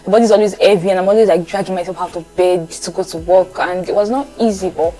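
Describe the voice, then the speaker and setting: A woman's voice: lowish voice, fast talker, dark tone. A young woman from Lagos with a Nigerian accent, chatting casually to viewers and repeating phrases for emphasis. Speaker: vlogger.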